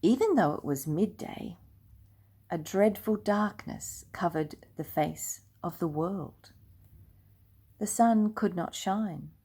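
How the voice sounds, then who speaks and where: Slow, gentle older woman reading a story. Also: on the low side, lively intonation, husky. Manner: slow, gentle and hushed, dropping to near whispers. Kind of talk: reading a children's storybook aloud.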